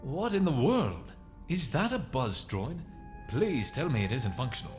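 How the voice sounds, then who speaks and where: A man playing a nervous, apologetic, cowardly freighter crewman in a sci-fi audio comic, hesitant and halting. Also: mid-range voice, big pitch swings. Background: music.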